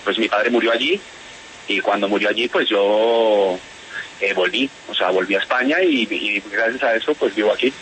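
A man from Spain who speaks with a Bogotá accent, his voice bright and forward. He talks haltingly, restarting and repeating words as he goes. Faint hiss sits under the line. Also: lowish voice, poor audio. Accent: Bogotá Colombian Spanish. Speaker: interviewee, whistleblower. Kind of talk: phone interview on radio.

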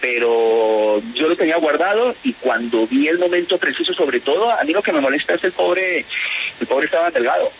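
A Spanish-born man who speaks with a Bogotá accent, giving a radio interview and talking haltingly with many repeats and restarts. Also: on the high side, animated, slight background noise, rough recording. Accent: Bogotá Colombian Spanish. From Spain. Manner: hesitant, repeats words, restarts. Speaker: interviewee, whistleblower.

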